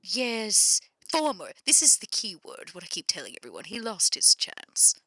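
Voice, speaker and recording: A woman playing an exasperated, sarcastic vampire businesswoman in an improvised roleplay, with a halting, dry delivery. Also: mid-range voice, big pitch swings, sharp tone, no echo. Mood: guarded, insistent. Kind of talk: improvised tabletop roleplay, phone conversation.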